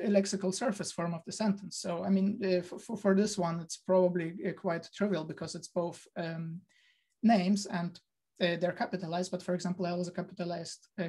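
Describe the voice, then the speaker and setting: A man's voice: sits high, dark tone. A man giving an online lecture over a video call, hesitant, with many fillers and restarts.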